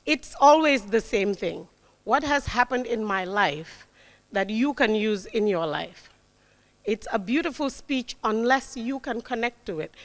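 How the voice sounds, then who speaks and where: Fairly high-voiced woman in her forties. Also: lively intonation, a little slow. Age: 40s. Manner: warm, emphatic, repeats key words, frequent "right?". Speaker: guest speaker. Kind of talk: motivational talk to young audience. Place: lecture hall. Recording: long hall reverb.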